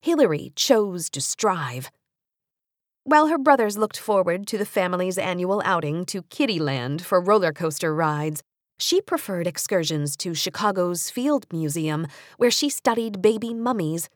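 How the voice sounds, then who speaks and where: An American woman reading nonfiction for young readers as an audiobook narrator, measured and carefully articulated, with an expressive storytelling delivery, close on a studio microphone. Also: fairly low pitch, no room sound.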